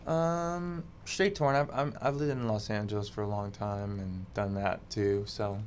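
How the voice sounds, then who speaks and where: Laid-back low-voiced male musician. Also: normal speed, slight background noise. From Massachusetts, United States. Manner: relaxed, laid-back, offhand. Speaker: musician guest. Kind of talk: casual interview chat.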